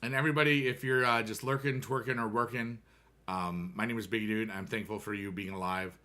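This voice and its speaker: A man's voice: lowish voice, fairly even intonation, brisk, pushed and bright. An American man in his thirties chatting casually with viewers on a game stream, rambling and upbeat with playful rhymes.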